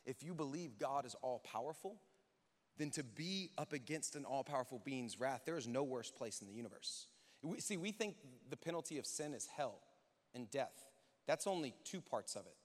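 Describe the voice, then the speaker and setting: A man's voice: medium pitch, animated, normal speed. A man preaching a contemporary, conversational sermon as a pastor, speaking emphatically and informally with rhetorical questions and repetition. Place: large church hall, speaking to an audience. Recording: echoey hall with long reverb.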